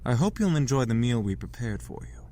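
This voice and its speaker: A man playing a polite, composed gentleman in a visual novel, speaking in formal, courteous phrases. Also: medium pitch, sing-song, a little fast, slight background noise, natural voice. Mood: polite, caring. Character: polite, composed, caring gentleman, stern with his brothers. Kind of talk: visual novel character acting.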